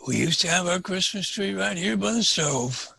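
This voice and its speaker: An actor playing a kindly, folksy old storekeeper in a family radio drama, with a raspy voice and a wistful streak. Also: male voice, high voice, lively intonation, moderate pace, pushed and bright, heavily acted. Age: older. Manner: folksy, hearty.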